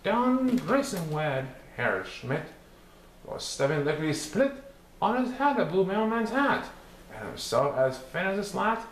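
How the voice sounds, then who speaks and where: A man with a fairly high, very animated voice reads a children's rhyming storybook aloud. His delivery is theatrical and sing-song, following the beat of the verse. The room adds clear echo. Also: a little slow. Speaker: storybook reader. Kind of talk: expressive children's picture book read-aloud. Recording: roomy echo with some background noise.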